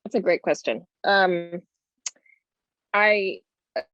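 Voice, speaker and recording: A woman's voice: on the low side, slow pace, fairly bright tone, no echo. A woman, a panelist on a video call, answering thoughtfully and searching for her words. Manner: thoughtful, searching, many fillers. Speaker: actress, panelist. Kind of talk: thoughtful roundtable discussion. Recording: dry, dull video call audio.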